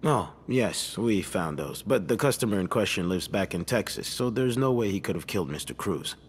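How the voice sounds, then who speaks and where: Deep-voiced, skeptical detective. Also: male voice, lively intonation, moderate pace. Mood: skeptical, probing. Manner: probing, condescending. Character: skeptical, pressing male police detective. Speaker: video game character. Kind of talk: narrative mystery game voice acting. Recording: long room reverb, faint noise.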